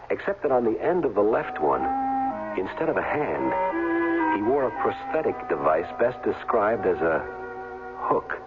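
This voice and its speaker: An actor in a 1970s radio drama playing a wary retired football player, plain-spoken and wry, on a muffled old recording. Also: male voice, low voice. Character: rugged retired football player, wary first-person narrator.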